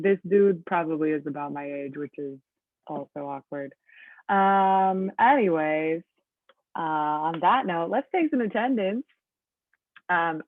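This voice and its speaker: A woman's voice: deep voice, no echo. A woman in her late twenties with a Californian accent runs an online class, chatty and upbeat, full of ums and likes. Her voice comes through a thin, narrow video call line. The sound is dry and close. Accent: Californian English. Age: late 20s. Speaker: teacher. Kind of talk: online class, casual teaching. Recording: thin phone-like audio over a video call.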